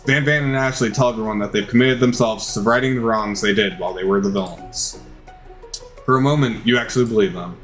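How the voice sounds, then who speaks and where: A man's voice: on the low side, brisk, fairly bright tone. A man reading out a video game's story dramatically as he plays, stumbling now and then. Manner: theatrical, with occasional stumbles. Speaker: video game player narrating a playthrough. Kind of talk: dramatized reading of game text. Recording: music behind the voice. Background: game music.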